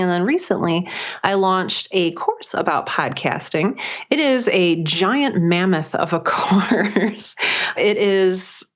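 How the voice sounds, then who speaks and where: Woman podcast guest thinking out loud, lowish voice, kinda lo-fi dull audio. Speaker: interview guest, writer and podcaster. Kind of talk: casual conversational interview.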